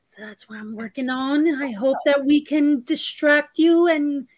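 A high-pitched woman hosts a casual live stream from home, speaking over a webcam on a video call. She chats, reads comments aloud and laughs often, and her voice sounds dry and close. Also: measured pace, no echo. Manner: laughs often, upbeat and chatty. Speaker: host of a live writing stream.

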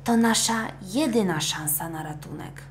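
A woman's voice: medium pitch, normal speed, slight background noise, voice pushed up, lightly acted. A woman playing a brave, earnest young boy in a children's audio story. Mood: earnest, hushed. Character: eager, brave young boy, reassuring older brother.

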